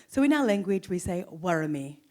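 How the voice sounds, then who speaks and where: An Australian woman giving a ceremonial welcome from a stage, speaking warmly and inviting the audience to join in. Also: fairly low pitch, sing-song, brisk. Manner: warm, inviting, encourages the crowd to join in. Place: stage in a hall.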